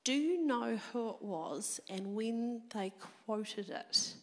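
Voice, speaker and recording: A woman preaching a sermon, her voice a little croaky from a cold, drawing the congregation in with questions. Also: a little high, takes it slowly, big-room sound. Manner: animated, engaging the congregation.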